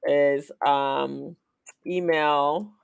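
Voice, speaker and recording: A man hosting a live-streamed business talk show, speaking in an unhurried, halting way with many "um"s and short nods of agreement. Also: on the high side, steady tone, creaky, smooth. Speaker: show host.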